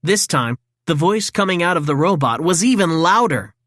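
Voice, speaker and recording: A woman reading a children's story in an expressive, dramatic read-aloud style. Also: on the low side, moderate pace, dry recording, clean studio sound. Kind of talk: scripted storybook narration for children.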